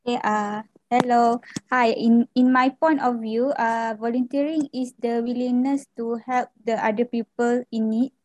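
A woman's voice: a little high, fairly even intonation, a little mellow, slight background noise, rough recording. A young woman, an education student speaking carefully with small hesitations. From Malaysia. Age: young adult. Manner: careful, slightly halting, with "uh" fillers. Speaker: education student volunteer guest. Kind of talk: podcast discussion.

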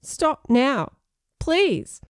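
Woman playing a defensive, sharp-tongued schoolgirl. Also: fairly high pitch, lively intonation, normal speed, vocal fry, dry recording, studio quality. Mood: giggly protest.

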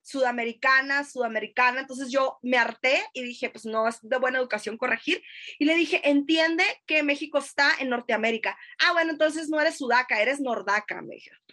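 A high-pitched woman with a northern Mexican Sonoran accent, in her thirties. She talks casually on a podcast, pausing often and trailing into fillers and little tag questions as she recounts her experiences. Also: bright, forceful tone. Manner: hesitant, many fillers and restarts, frequent tag questions. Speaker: podcast guest. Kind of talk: casual conversational storytelling.

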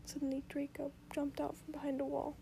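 A woman reading a story aloud in a soft whisper for sleep, indoors at home. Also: high-pitched, completely flat delivery, a little fast, creaky, background noise. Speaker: reader.

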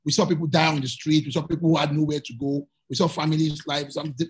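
Husky-voiced Nigerian man, earnest. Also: a little high, animated, fast talker. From Lagos, Nigeria. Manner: earnest, repeats words while searching for them. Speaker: guest journalist. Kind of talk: conversational interview answers.

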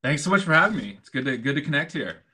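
Laid-back American man, bright voice. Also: fairly high pitch, very animated, fast talker, breathy edge. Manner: laid-back, chuckles often, many restarts. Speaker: guest. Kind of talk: casual conversation. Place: home in Istanbul.

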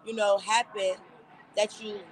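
A woman rapper with a raspy voice, chatting easily as an interview guest with lots of fillers. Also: mid-range voice, little pitch movement, a little slow, slight background noise, poor audio. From Charlotte, North Carolina. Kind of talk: casual interview chat.

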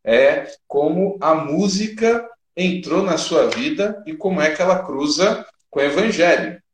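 A man's voice: fairly high pitch, expressive, unhurried, roomy sound, slight background noise. A man casually interviewing a guest in a conversational way.

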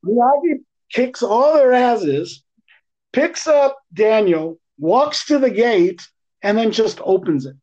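A man co-hosting a podcast, chatting casually in profane slang with an animated, teasing, ranting manner. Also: very high-pitched, slow pace. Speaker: podcast co-host. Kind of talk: casual, slangy movie chat. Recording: dull, lo-fi podcast audio.

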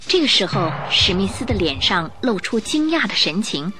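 A woman's voice: mid-range voice, sing-song, normal speed. A woman narrating a radio drama as a scripted, dramatic story.

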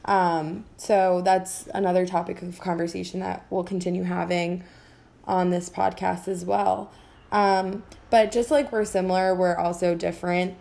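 A young woman from Colombia talks on a podcast in a soft-spoken, hesitant way with many pauses. Her voice is slightly low, with a gravelly edge and a flat, even pitch. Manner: soft-spoken, many ums, gentle laughs. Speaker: podcast co-host. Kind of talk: casual podcast chat.

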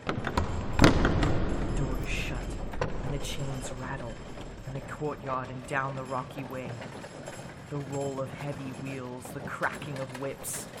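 A man's voice: medium pitch, completely flat delivery, measured pace, pauses often, very noisy, close to an everyday voice. An actor playing a frightened young Englishman narrating his journal in a radio drama reenactment, earnest and tense. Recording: long hall reverb with music.

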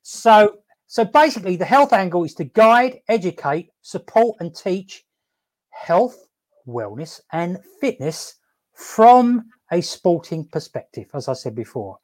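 A man hosting a casual live stream, upbeat and laughing often, talking haltingly with many fillers and restarts and asking "okay?" to check in. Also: high-pitched, very animated. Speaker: host.

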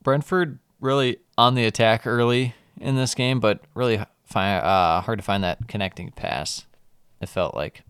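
Smooth low-voiced man on a football podcast. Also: normal speed, few pauses, fairly bright tone, dry recording, clean studio sound. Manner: reads lineups, jokes about player names. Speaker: podcast co-host. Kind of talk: casual football podcast chat.

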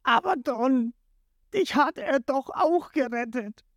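A narrator voicing a soft-hearted, loyal male pirate henchman who pleads and wails in a storybook retelling. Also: medium pitch, animated, takes it slowly, no echo, clean studio sound, pitched above the performer's own voice. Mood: pleading. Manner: pleading, wailing.

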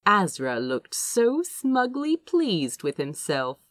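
A woman reading fiction aloud as an audiobook narrator, in an expressive storytelling style. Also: medium pitch, slow pace, dry recording. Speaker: audiobook reader.

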